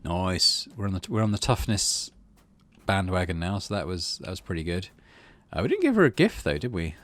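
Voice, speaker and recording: A man's voice: low-pitched, big pitch swings, normal speed, fairly bright tone. A middle-aged man giving casual game commentary, muttering drily to himself and reading bits of narration aloud. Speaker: gaming channel host.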